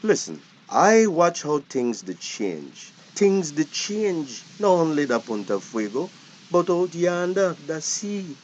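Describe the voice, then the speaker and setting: Older male fisherman, played by an actor, Belizean Creole accent, lively melodic delivery, practical and seasoned, slightly dull drama recording. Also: fairly high pitch, takes it slowly, slight background noise. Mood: reflective. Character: seasoned old fisherman, plain-spoken and practical. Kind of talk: naturalistic dialect radio drama acting.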